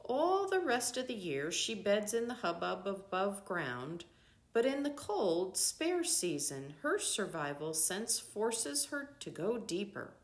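An older woman narrates from a book at a slow pace. Her voice sits at a middle pitch for a woman, and she sets out each phrase deliberately, leaving pauses between them. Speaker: reader of a book. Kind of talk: scripted storybook narration, read aloud.

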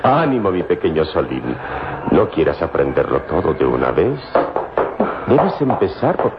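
A man playing a calm, wise hero, speaking in a measured, commanding way in an old radio serial. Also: extremely low pitch, exaggerated voice. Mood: calm, resolute. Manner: solemn, measured, commanding, philosophical. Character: calm, wise, unshakable adult male hero. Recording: dull, noisy old radio recording.

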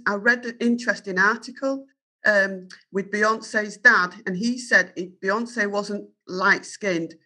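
Firm, bright-voiced Yorkshire woman. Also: medium pitch. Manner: earnest, firm and self-assured. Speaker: journalist and guest. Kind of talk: candid interview answers.

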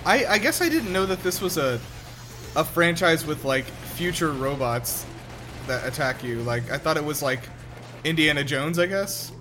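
A man's voice: a little high, animated, moderate pace. Inquisitive young man over film music. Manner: inquisitive, asks lots of questions. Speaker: podcast host. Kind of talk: casual film commentary chat.

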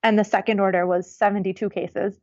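A woman guest in a casual interview, chatting in a relaxed way with frequent ums, over a muffled remote line. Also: medium pitch, fairly quick, no echo.

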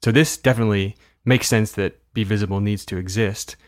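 A low-voiced man hosts an interview, asking questions carefully and hedging as he goes. His voice sounds close and dry. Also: fairly even intonation, brisk, dry recording. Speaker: interview host. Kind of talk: interview conversation.

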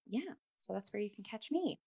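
A woman's voice: mid-range voice, very animated, normal speed, vocal fry, mellow, breathy edge. A woman casually reviewing theatre as a show host, in an enthusiastic, emphatic way.

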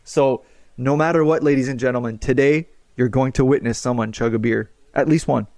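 A man's voice: medium pitch, steady tone, a little fast, a little mellow. Stumbling, joking male quiz host. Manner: joking, stumbles and repeats words. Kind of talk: hosting a podcast trivia game.

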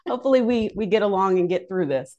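A woman's voice: mid-range voice, fast talker, dark tone, highs cut off. A woman co-hosting a podcast, introducing guests in a chatty, informal way and laughing easily. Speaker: podcast co-host.

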